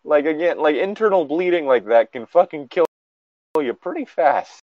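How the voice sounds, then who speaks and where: A man's voice: on the high side, lively intonation, moderate pace, no room sound, muffled recording. A man giving casual, sweary and sardonic commentary as he reads and reacts to a story. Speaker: host reading and reacting to a horror story.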